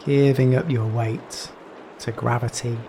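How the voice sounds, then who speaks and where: A man leading a guided meditation in a gentle, soothing manner, leaving long pauses between phrases. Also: medium pitch, rough recording. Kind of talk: guided Yoga Nidra meditation. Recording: steady rain noise behind voice. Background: rain sounds.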